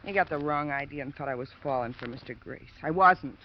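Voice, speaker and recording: A woman's voice: low-pitched, a little fast. A woman playing an anxious, evasive working-class housewife in 1950s radio drama, with a defensive, plaintive, colloquial way of talking. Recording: muffled noisy old radio broadcast recording.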